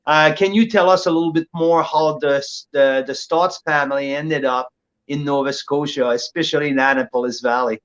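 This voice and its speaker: A man with a French-Canadian accent hosting a casual video call interview, asking questions in a friendly, halting way. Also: fairly high pitch, steady tone, fairly bright tone, lo-fi sound. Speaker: host. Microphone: webcam mic on a video call.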